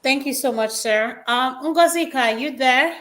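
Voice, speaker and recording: A woman's voice: sits high, moderate pace, fairly bright tone. A woman hosting a live online discussion, casually and courteously moderating her guests. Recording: noisy online call with hum.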